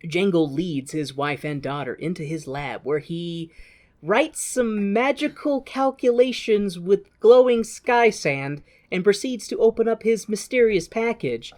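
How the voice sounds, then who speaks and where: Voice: mid-range voice, expressive, unhurried, a little mellow. Irreverent podcast co-host recapping a film. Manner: irreverent, jokey. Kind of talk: comedic film recap, casual retelling.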